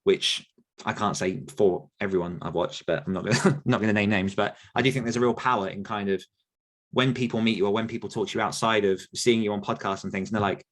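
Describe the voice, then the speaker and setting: A man's voice: on the low side, fairly even intonation, fast talker, a bit bright. A man, answering listener questions on a podcast in a rambling, self-correcting way. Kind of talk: casual Q&A chat.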